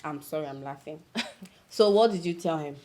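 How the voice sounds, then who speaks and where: A woman's voice: deep voice, moderate pace, darkish tone, close to an everyday voice. A woman playing a teasing, dramatic young village friend in a film drama, with a Nigerian accent and a theatrical way of talking. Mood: amused. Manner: theatrical, teasing, interjects with local words. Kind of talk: naturalistic film drama dialogue.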